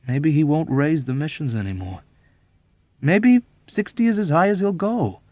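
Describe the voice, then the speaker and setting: A man performs a sardonic young bombardier from a war novel who wants no part of trouble. He is exasperated and emphatic, with a pitch that jumps around, recorded very dry. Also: sing-song, normal speed, dark tone, dry recording, highs cut off. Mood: wry.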